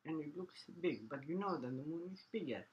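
A man's voice: fairly high pitch, lively intonation, a little fast, dull audio, slight background noise. A man casually discussing poems in a reflective, explanatory way. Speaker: listener commenting on poems.

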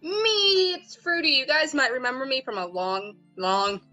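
A woman's voice: high-pitched, a little slow, pushed and bright, soft background music. A woman who made the game, chatting casually and giggling with the players. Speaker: game maker and co-host. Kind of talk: casual gaming chat.

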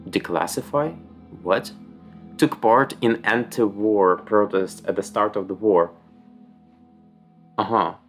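Ukrainian-accented man playing a game. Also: lowish voice. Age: young adult. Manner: reads game text aloud, thinking aloud, chatty. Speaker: gaming streamer. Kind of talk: casual let's play commentary. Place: small room at home.

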